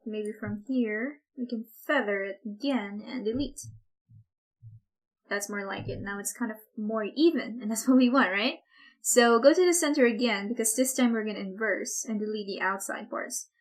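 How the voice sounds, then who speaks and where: Lady doing a casual screen tutorial, kinda high voice, a bit hoarse, says like a lot. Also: normal speed, poor audio. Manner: casual, chatty, lots of "like" and "kind of". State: losing her voice, a bit hoarse. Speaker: online course instructor. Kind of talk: screen-recorded software tutorial.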